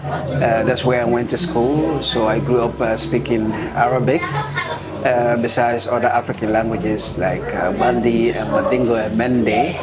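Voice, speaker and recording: A man from Liberia, speaking in a measured, reflective way with many pauses and fillers as an interviewed author. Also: mid-range voice, fairly even intonation. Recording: music under voice. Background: music bed.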